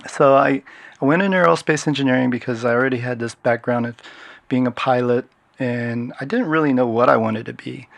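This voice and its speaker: A gravelly-voiced middle-aged man from Washington State, talking through his past as a podcast guest. He is relaxed and unhurried, pausing and restarting often. The sound is dry and close to the mic. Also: mid-range voice, no room sound. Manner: relaxed, hesitant storytelling with many fillers. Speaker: guest, security consultant. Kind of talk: casual interview talk.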